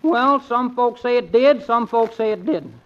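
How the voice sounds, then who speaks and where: An actor playing a folksy, cheery small-town newspaper reporter with a rustic drawl in a 1940s radio comedy. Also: male voice, extremely high pitch, unhurried. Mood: gossipy, cheerful. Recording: muffled, hissy 1940s radio recording.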